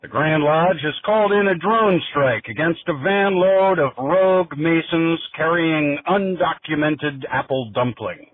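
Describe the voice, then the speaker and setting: Phone-like deadpan male newscaster. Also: fairly high pitch, dry recording. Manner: deadpan, formal reporter delivery. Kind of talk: mock radio news report, scripted. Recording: tinny, phone-like narrowband line.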